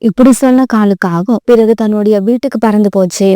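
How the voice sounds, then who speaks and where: A woman's voice: mid-range voice, fairly quick, dark tone, clean tone, dry recording, studio quality. A woman narrating a children's moral story as a scripted voice-over.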